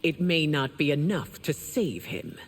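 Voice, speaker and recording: An actress playing a proud, stern middle-aged warrior empress in a video game, speaking formally and with regal command. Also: female voice, low-pitched, very animated, a little slow, slightly rough, full character voice. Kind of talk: dramatic video game dialogue.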